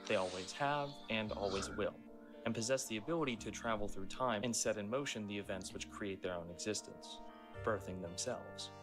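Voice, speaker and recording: Male essay narrator, slightly deep, explanatory and deliberate, clear music bed underneath. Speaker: narrator explaining a horror series. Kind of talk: scripted video essay narration.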